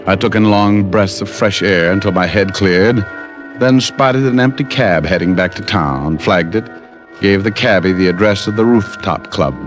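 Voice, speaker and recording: An actor playing a wisecracking private detective, narrating in an old radio drama. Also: male voice, low voice, moderate pace, over music, natural voice. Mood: grim. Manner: dry wisecracking, clipped narration. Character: hard-boiled male private eye, wry. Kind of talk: 1950s radio drama acting.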